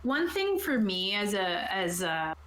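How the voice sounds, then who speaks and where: A woman's voice: medium pitch, very animated, slow pace, a bit bright. A woman chatting casually and enthusiastically as a game master about tabletop roleplaying, thinking aloud as she goes. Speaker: game master and show host. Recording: roomy echo, faint background noise.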